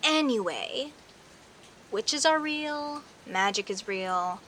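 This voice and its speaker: A woman plays a friendly, teasing young woman next door who is secretly a werecat. Her voice is fairly high and bright, and she speaks at a leisurely pace, addressing the listener directly as in an intimate audio roleplay. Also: animated, exaggerated voice. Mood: amused, explaining. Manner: teasing, indignant, conversational with sighs and pauses. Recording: faint hiss and rain noise. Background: rain ambience.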